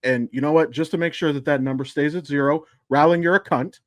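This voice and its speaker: A man's voice: on the high side, fairly even intonation, a little fast, dry recording. Man chatting on a podcast, dry sound. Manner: blunt, sweary, addresses listeners directly. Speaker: podcast host. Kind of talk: casual, opinionated podcast chat.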